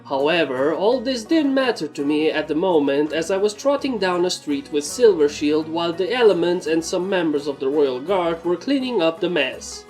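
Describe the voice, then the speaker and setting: A woman's voice: fairly low pitch, lively intonation, moderate pace, hardly any pauses, darkish tone, soft background music. A woman narrating a scripted story in the first person. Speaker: fan fiction narrator.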